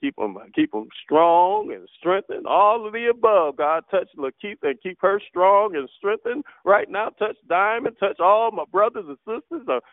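A man leads a fervent spoken prayer, his voice sitting somewhat high for a man. He speaks in impassioned, repetitive bursts full of hallelujahs. The sound is thin and tinny, as over a telephone, with a dry, close sound. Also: lively intonation, normal speed, hardly any pauses, no echo. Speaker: prayer line leader. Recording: tinny phone line, dry.

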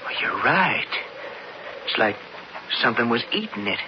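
A man's voice: medium pitch, big pitch swings, moderate pace, natural voice. An actor playing an earnest, anxious young western man in a radio drama. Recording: noisy muffled old radio broadcast.

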